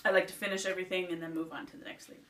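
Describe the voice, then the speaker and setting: A woman's voice: lowish voice, fairly even intonation, rapid-fire, slight background noise. Chatty Nova Scotian woman, roomy sound. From Nova Scotia, Canada. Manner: rambling, chatty, reading off a list. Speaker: beauty vlogger. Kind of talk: casual chatty vlog. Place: indoors at home, seated. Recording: roomy sound from a distant mic.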